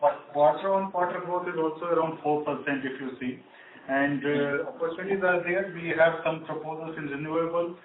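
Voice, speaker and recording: A man speaking as a bank executive, giving measured, matter-of-fact answers on an earnings call. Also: fairly high pitch, fairly even intonation. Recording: distant echoey room, noisy phone line.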